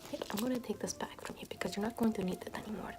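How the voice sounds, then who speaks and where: A woman playing a callous, morbid medieval nun in ASMR roleplay, murmuring in a soothing, repetitive deadpan. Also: medium pitch, racing, close to an everyday voice. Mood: morbid, casual. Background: music.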